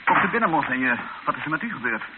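An actor playing a respectful, sombre aide to a missionary bishop in a radio drama, measured and deferential. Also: male voice, on the high side, very animated. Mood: concerned. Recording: hissy, dull old radio recording.

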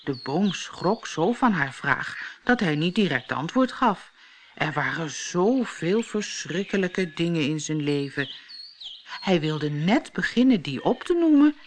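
A woman narrating a children's fairy tale in an expressive, deliberate manner. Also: fairly low pitch, a little hiss or hum. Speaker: storyteller.

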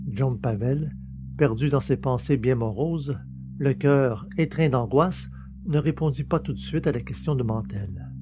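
A man's voice: medium pitch, measured pace. A man reading a science-fiction novel as a scripted, dramatic audiobook narration. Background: music.

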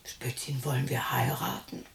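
An actress in a radio play playing a lonely sixty-year-old widow who murmurs to herself in a breathy voice, by turns tender and grumbling. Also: female voice, extremely low pitch, little pitch movement, moderate pace, in a whisper, full character voice. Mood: bitter, self-scolding. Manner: murmured self-talk, swinging between cooing and scolding. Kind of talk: radio play monologue, intimate acting.

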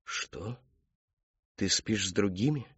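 A man's voice: sits low, steady tone, unhurried, darkish tone, dry recording, lo-fi sound. A man voicing a furious, domineering middle-aged father in an audiobook, barking accusing demands. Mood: enraged, accusing.

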